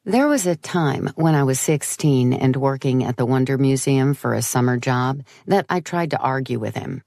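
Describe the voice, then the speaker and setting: Low-voiced woman reading an audiobook, dry sound. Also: animated, moderate pace, vocal fry, warm tone, dry recording. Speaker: audiobook narrator. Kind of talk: scripted first-person storytelling narration.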